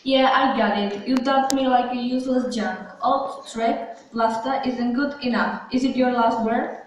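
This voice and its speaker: A woman's voice: a little high, steady tone, unhurried, slight background noise, lightly acted. A woman playing a proud, scorned, vengeful woman in an amateur puppet show, speaking with indignant emphasis. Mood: hurt, scornful. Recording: very echoey room, far from mic.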